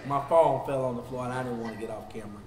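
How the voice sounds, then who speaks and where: A man in his fifties with a Southern US accent teaching a Bible study as pastor. He swings from shouting to low talk, recorded in a church sanctuary on a lapel mic. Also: quick pace, reverberant, in a large hall, background noise. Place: church sanctuary, seated before a projection screen.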